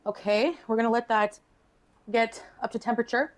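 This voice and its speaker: A woman's voice: fairly high pitch, fairly even intonation, normal speed. A woman casually explaining recipe steps as a home cooking vlogger, recorded in her kitchen.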